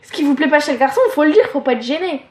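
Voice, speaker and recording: Teen girl, nasal stuffy voice, high-pitched, rambling frank chat, echoey room. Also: fast talker, a little mellow. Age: teens. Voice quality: nasal from allergies. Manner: rambling, frank, lots of fillers. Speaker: vlogger giving advice. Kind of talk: casual advice monologue.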